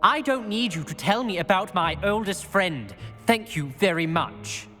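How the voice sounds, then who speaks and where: A haughty, suspicious wizard schoolboy in a video game. The voice is fairly high and swings sharply, with a clipped, accusatory edge. It carries long reverb, with music underneath. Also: male voice, animated, measured pace, sharp tone, full character voice. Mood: curt, indignant. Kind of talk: dramatic video game dialogue.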